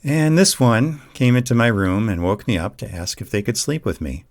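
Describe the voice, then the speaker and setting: A man plays a devoted boyfriend in an intimate audio drama. His voice sits a little low. He is gentle and earnest, with a casual, conversational delivery. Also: moderate pace, natural voice. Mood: relaxed, fond. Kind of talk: amateur audio roleplay acting.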